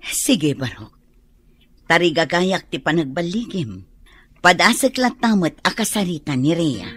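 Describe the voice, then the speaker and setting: A woman acting a concerned, encouraging older mentor with a husky voice in a radio drama. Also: fairly low pitch, big pitch swings, measured pace, fairly bright tone. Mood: probing, encouraging.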